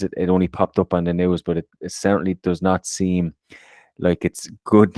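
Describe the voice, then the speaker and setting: A man's voice: low-pitched, warm tone, no echo, clean studio sound. A man co-hosting a podcast in a casual chatty way, often repeating words as he talks. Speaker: podcast co-host. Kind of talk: casual chat.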